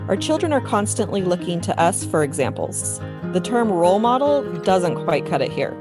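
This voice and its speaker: Woman host, scripted intro, earnest and reflective, lowish voice, guitar music behind. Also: very animated. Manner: earnest and reflective, measured delivery. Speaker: podcast host.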